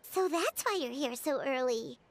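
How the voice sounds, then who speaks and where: An actress voicing a tiny, childlike fairy companion, chirpy and cheeky, with a squeaky voice in an anime game. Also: female voice, lively intonation, normal speed, faint music behind. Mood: chirpy, curious. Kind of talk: anime video game voice acting.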